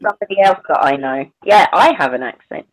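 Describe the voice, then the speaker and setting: A woman's voice: low voice, lively intonation, brisk, soft background music, low-quality recording. A woman chatting casually on an online panel, hesitant, often restarting her sentences and trailing off. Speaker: participant in an online panel chat. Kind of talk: casual chat.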